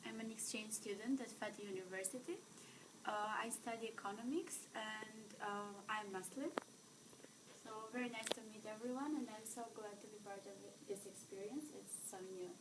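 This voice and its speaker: Soft-spoken young Albanian woman. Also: mid-range voice, normal speed, noisy background. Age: young adult. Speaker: exchange student.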